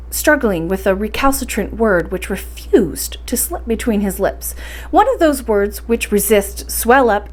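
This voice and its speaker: A woman reads and presents stories for a library bedtime session. Her pitch moves around in a lively way, and her delivery is expressive and encouraging. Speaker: library storytime reader. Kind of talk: bedtime story reading and introduction.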